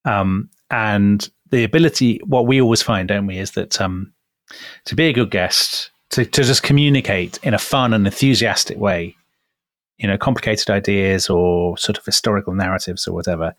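Co-host, man, lowish voice, teasing banter, dry close mic. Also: normal speed, dry recording. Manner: teasing, mock-indignant. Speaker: podcast co-host. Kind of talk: bantering podcast chat.

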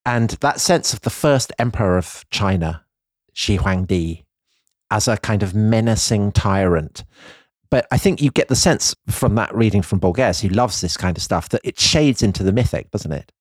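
A man with a fairly low voice who talks through history on a podcast in a considered, explanatory way. He often softens his points with small hedges. The sound is dry and close to the mic. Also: lively intonation, moderate pace, no echo. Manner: thoughtful, explanatory, hedging with "I think". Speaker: podcast co-host.

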